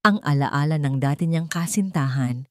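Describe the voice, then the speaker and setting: Female Filipino narrator for dramatic romance fiction, low register, close dry sound. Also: moderate pace, darkish tone, no room sound. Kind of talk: dramatic scripted storytelling, radio drama style.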